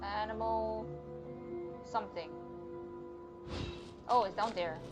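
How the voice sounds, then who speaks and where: A woman's voice: mid-range voice, slow. A woman live-streaming a game, commenting casually and muttering informal asides as she plays. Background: game music.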